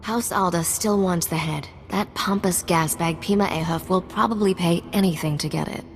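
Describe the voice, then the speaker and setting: An actress playing a shrewd, composed underworld fixer in a video game, wry and businesslike. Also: female voice, lowish voice, moderate pace, slight background noise, lightly acted. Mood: sly, wry. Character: cool, shrewd female underworld fixer briefing her captain. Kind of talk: video game cutscene acting.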